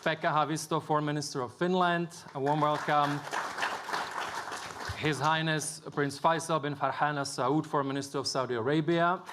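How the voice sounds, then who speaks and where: A man moderating a formal panel, introducing guests and putting questions to them in a measured way. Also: a little high, steady tone, a crowd in the background, noisy background. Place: conference stage with an audience.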